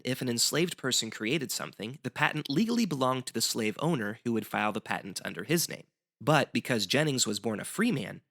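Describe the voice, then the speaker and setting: A man's voice: medium pitch, vocal fry, fairly bright tone. A man as a guest explaining history in semi-scripted educational banter, articulate and wry.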